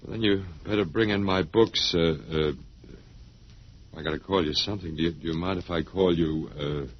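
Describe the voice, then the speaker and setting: An actor playing a mild-mannered, bewildered captive professor in a 1950s radio drama, hesitant and stammering. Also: male voice, low voice, moderate pace. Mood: resigned, wry. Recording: dull vintage radio broadcast recording.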